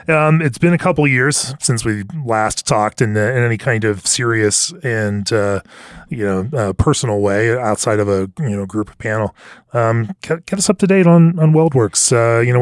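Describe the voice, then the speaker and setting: A man hosting a podcast in casual conversation, with a gravelly baritone voice and a calm, soothing, easygoing way of talking. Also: moderate pace, no room sound, studio quality. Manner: relaxed, calm and soothing, with frequent fillers. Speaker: podcast host.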